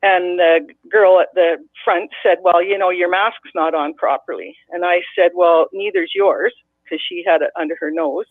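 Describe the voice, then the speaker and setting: A woman's voice: on the low side, steady tone, moderate pace, no room sound. A middle-aged woman from Canada calling in, telling a long, blunt, rambling story with many restarts. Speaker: caller. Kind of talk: casual call-in storytelling. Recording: dry tinny phone line.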